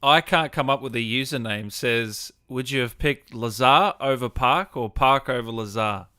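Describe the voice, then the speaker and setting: A man's voice: mid-range voice, normal speed, sharp tone, clean tone. A man hosting a casual livestream interview, reading out questions in a friendly, matey, informal way. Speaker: interviewer, gaming show host.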